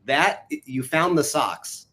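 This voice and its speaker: A man, a co-writer, chatting casually on a live stream, telling stories animatedly with quick asides. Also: a little high, lively intonation, pushed and bright. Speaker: co-writer of a musical.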